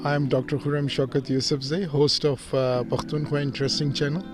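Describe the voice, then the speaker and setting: Man, interview host, hesitant with lots of fillers, slightly high voice, clear music under the speech. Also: little pitch movement, fairly quick.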